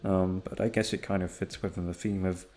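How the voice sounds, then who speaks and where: A young man chatting casually to his listeners in a quiet, low-energy, laid-back way, hesitant and swearing freely. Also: level tone, a little fast. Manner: hushed, low-energy, keeping quiet for others in the house. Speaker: audio roleplay creator and host. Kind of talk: rambling casual chat to listeners. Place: at home.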